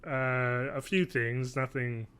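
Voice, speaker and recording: Podcast guy in his 30s, bright mid voice, kinda rambly and dry. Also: mid-range voice, fairly even intonation, takes it slowly. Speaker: podcast co-host. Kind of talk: casual chat. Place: home living room, video call.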